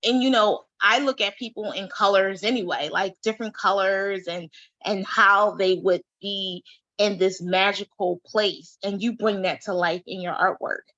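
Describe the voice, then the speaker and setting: A woman hosts an interview with a bright, forceful tone and lively enthusiasm. Her voice sounds dull and lo-fi, recorded dry with no room echo. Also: mid-range voice, moderate pace. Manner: enthusiastic, exclamatory reactions. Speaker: show host. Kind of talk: upbeat interview hosting.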